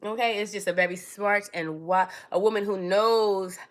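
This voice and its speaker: A woman's voice: medium pitch, fairly even intonation, normal speed. A woman, born in Trinidad and raised in New York City, chatting casually to viewers on her own livestream and calling them darling and baby. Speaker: livestream host.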